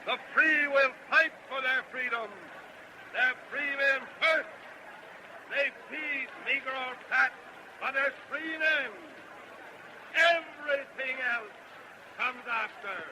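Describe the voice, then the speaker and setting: An actor playing a stern prophetic orator who harangues a crowd with incantatory, declamatory delivery in an old radio verse drama. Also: male voice, extremely high pitch. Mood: stern exhortation. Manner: slow, ringing, incantatory, oratorical. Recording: noisy old radio recording.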